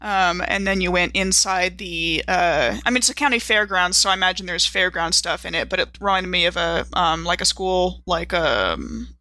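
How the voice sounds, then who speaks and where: Bright-voiced American woman chatting. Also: on the low side, normal speed, few pauses, no echo. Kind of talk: casual chat, anecdotes.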